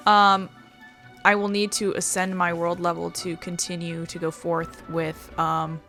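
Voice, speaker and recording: A woman's voice: fairly low pitch, flat delivery, a little slow, bright, forceful tone. Rambling woman gamer over faint music. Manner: rambling, thinking aloud. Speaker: gaming channel host. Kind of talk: casual let's play commentary.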